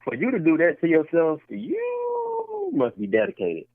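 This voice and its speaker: Casual male podcast co-host, insistent and repetitive, tinny dry lo-fi sound, mid pitch. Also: wildly swooping pitch, a little slow, no echo. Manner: repetitive, argumentative, restarts points. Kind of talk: casual debate chat.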